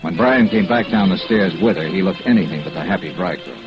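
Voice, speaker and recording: Tough male investigator, vintage radio detective show. Lowish, terse, businesslike; muffled old-time sound. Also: lively intonation, quick pace, music in the background. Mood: grim. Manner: clipped, hard-boiled, matter-of-fact narration. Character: tough, dogged insurance investigator narrating his case. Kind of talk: 1940s-50s radio detective drama acting. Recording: dull vintage radio recording.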